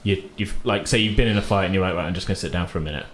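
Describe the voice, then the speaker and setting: A man with a lowish voice runs a tabletop game as its referee. He explains things casually and with some hedging. The recording is roomy and echoey, with faint noise behind him. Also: very fast, a bit bright. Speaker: game master.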